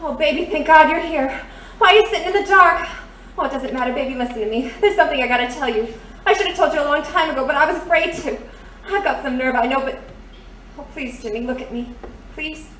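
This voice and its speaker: An actress playing a brassy, guarded young American dame in a noir radio drama, slangy and dramatic. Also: female voice, high-pitched, quick pace, a bit bright. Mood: anxious, pleading. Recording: roomy, distant, faint background noise.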